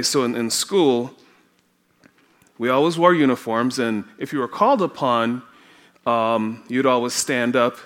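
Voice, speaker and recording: A Trinidadian man preaching in a church, telling stories from his own life at an unhurried pace. His voice is bright and forward, and he laughs often as he talks. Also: mid-range voice. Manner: slow, storytelling, often laughing. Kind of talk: sermon with personal stories. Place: church sanctuary.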